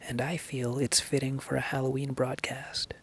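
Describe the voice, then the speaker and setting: A male host delivers a scripted introduction in a gravelly whisper. His delivery stays flat and hushed, with faint noise behind it. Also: medium pitch, normal speed. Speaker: host of a listening show.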